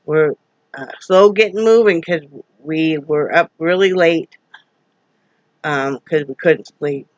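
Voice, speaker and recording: A woman's voice: deep voice, slow pace, pauses often, dry recording. Wheezy folksy woman on a dull recording. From Wyoming, USA. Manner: folksy, rambling, many fillers. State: wheezy, sore throat. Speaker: vlogger. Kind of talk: casual home vlog chat. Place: front room at home.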